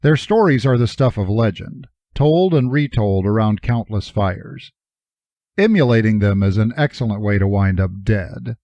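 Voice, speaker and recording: A man's voice: on the low side, lively intonation, moderate pace, warm tone, no echo, dull audio. A man reading a first-person novel as an audiobook narrator, with a wry, dryly humorous delivery.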